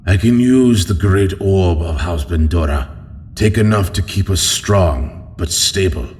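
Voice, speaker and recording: An actor playing a proud, calculating alien noble serving a warlord, formal and measured, in an audio drama. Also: male voice, low voice, darkish tone, slight background noise. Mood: grave. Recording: long hall reverb, faint ambience.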